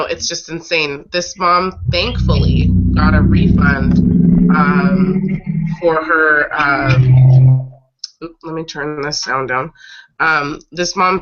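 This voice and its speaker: A woman hosting a casual live webcast, slightly raspy from getting over a cold, talking haltingly and often pausing to regroup mid-sentence. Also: sits low, fairly even intonation, heavy background noise. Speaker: host of an online breastfeeding advocacy show. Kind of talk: casual live webcast chat, recounting stories. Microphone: online video call. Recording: dull lo-fi webcast audio.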